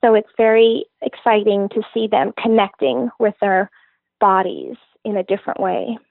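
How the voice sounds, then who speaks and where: A woman's voice: medium pitch, expressive, moderate pace, creaky, no room sound. A woman fitness trainer answers interview questions with earnest enthusiasm, laying out her methods step by step. Her voice comes through a thin, phone-like line, dry and close with no room sound.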